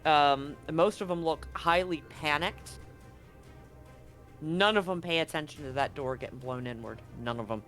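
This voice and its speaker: A man with a fairly high-pitched voice runs a tabletop horror game. He narrates dramatically and graphically, dropping in casual slang. Light music plays behind him. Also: a little slow, fairly bright tone. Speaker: game master.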